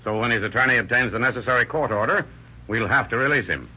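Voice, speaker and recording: A man's voice: medium pitch, pushed and bright, slightly rough. A man acting a shrewd, authoritative county sheriff in an old radio drama, with the dull sound of an old radio recording. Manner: measured, authoritative.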